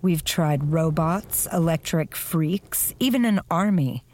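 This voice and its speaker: A low, sardonic crime magnate. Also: female voice, slow, a little mellow. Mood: cool, skeptical. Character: dry, disdainful female criminal magnate. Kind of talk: audio drama acting.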